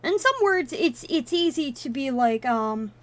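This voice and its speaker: Slow-talking woman streamer, native Russian. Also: sits high, animated. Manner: thinking aloud, wandering off on tangents. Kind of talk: casual live-stream gaming commentary.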